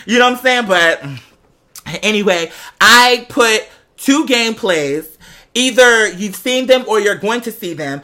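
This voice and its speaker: Bright-voiced, sassy American vlogger. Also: mid-range voice. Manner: sassy, slangy, emphatic, repeats phrases for effect. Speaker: vlogger addressing patrons. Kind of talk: casual gossipy storytelling.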